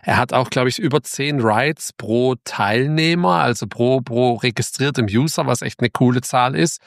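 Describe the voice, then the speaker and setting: A man's voice: medium pitch, normal speed, creaky, no room sound, studio quality. A man hosting a podcast, opening the episode and interviewing his guest in a casual, informal way. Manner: chatty, informal, with frequent restarts. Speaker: podcast host.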